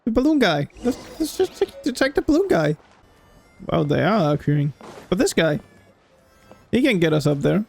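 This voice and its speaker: A woman's voice: fairly low pitch, sing-song, moderate pace, vocal fry, long pauses, a little mellow, faint music behind. A woman giving casual live commentary as a game streamer.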